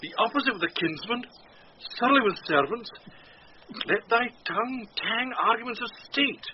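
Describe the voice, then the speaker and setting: A man's voice: extremely high pitch, animated. An actor playing a pompous, vain and gullible household steward in a theatrical Shakespearean radio drama, savouring and drawing out his words. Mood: reading aloud, awed. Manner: pompous, savouring and drawn-out delivery. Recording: muffled, noisy old radio broadcast.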